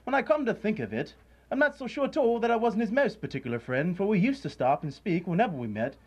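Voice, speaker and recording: A man's voice: high-pitched, big pitch swings, fast talker, a little mellow, husky, full character voice. An actor playing a flippant, callous businessman in a radio drama, breezy and glib. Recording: dull student radio recording.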